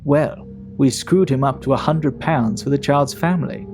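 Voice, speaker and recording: An actor playing a Victorian gentleman in an audio drama, recounting a grim tale in measured, emphatic, old-fashioned phrasing, indignant yet composed. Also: medium pitch, faint music behind, close to an everyday voice. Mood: intrigued, measured.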